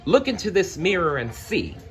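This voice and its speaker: A man's voice: high voice, sing-song, normal speed, voice pushed up. A man voicing an earnest, spirited young storybook heroine with a pleading, emphatic manner. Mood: defiant.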